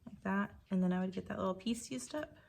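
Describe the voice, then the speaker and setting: A woman with a slightly croaky voice, chatting casually and thinking aloud while she works on a scrapbook page. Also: mid-range voice, quick pace, darkish tone, slight background noise. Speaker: scrapbooking creator. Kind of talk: casual crafting commentary.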